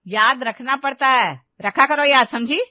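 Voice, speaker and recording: A woman's voice: medium pitch, animated, normal speed, no echo, lightly acted. A woman voicing a stern, bossy middle-aged mother-in-law in an audio story, lecturing and scolding. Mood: exasperated. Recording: slightly dull, dry recording.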